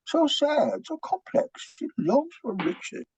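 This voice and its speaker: A man's voice: very high-pitched, big pitch swings, slow, darkish tone, dry recording, exaggerated voice. A man playing an eccentric, earnest foreign psychiatrist in a comic play, pompous and theatrical with stilted grammar. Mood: grave, fervent. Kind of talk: comic stage play reading. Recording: dull lo-fi microphone sound.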